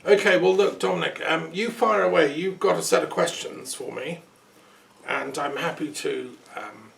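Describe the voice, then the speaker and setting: A man hosting a relaxed interview, talking in a thoughtful, rambling way with asides. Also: a little high, moderate pace, creaky. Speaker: host. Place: peace museum building. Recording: roomy sound from a distance, faint noise.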